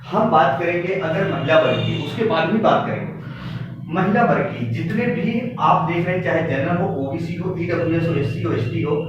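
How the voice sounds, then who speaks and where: Man, higher-pitched voice, coaching teacher, emphatic, repetitive, muffled recording. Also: animated, normal speed. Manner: emphatic, repetitive, directly addressing listeners. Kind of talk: informal exam-update lesson for students. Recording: very echoey room, distant mic, dull, faint noise.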